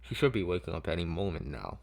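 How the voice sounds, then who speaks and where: A man playing a manipulative mad doctor with a coaxing, drawn-out, falsely soothing manner in an audio story. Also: deep voice, very animated, vocal fry, voice pushed down, heavily acted. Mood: clinical.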